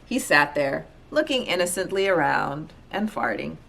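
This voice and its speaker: Low-pitched woman reading a storybook. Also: sing-song, normal speed, vocal fry, a bit bright. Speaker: storybook reader. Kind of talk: expressive children's read-aloud narration.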